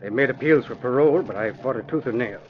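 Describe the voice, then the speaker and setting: A man's voice: mid-range voice, quick pace, lightly acted. An actor playing a worried but steadfast older father in 1940s radio drama style. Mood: worried, firm. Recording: muffled, hissy old radio recording.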